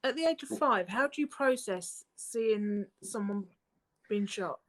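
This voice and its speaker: A woman hosting a live interview, asking gentle questions and giving short sympathetic replies. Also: mid-range voice, lively intonation, a little slow, low-quality recording.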